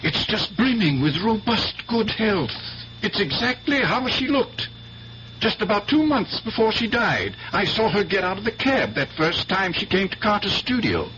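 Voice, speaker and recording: An actor playing an earnest, blunt doctor, grave and emphatic, in a 1970s radio drama. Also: male voice, high voice, very animated, pushed and bright, slight background noise, full character voice. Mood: troubled recollection. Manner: grave, emphatic, deliberate phrasing. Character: earnest, worried doctor, a man, blunt and insistent. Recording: dull, hummy old radio recording.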